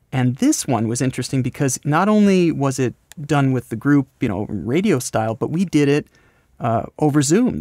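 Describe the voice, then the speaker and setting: An American man being interviewed, explaining his creative work in a casual, rambling, halting conversational way. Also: medium pitch, a little mellow, no room sound, studio quality. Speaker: podcast host, voice actor and scriptwriter being interviewed.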